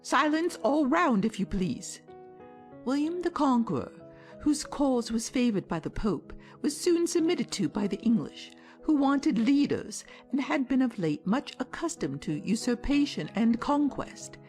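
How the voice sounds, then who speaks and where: A woman's voice: high voice, big pitch swings, measured pace, a little mellow, soft background music. A woman playing a self-important, pedantic mouse in a dramatized storybook, polite but easily nettled. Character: prim, self-important mouse lecturing with great authority. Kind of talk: dramatized storybook audiobook acting.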